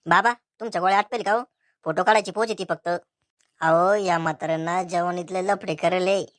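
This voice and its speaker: A woman's voice: fairly low pitch, steady tone, fairly quick, natural voice. A woman voicing a cheeky, mocking young male wedding photographer in a cartoon comedy, bossy and sarcastic, with a rural Maharashtrian accent. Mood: teasing, bossy.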